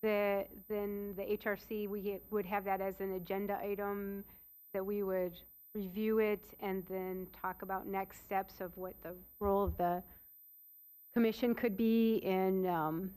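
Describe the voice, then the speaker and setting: A woman commissioner speaks earnestly at a city meeting. Her voice is mellow and her pitch stays flat and even. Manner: measured, earnest. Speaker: commission member. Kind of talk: meeting discussion.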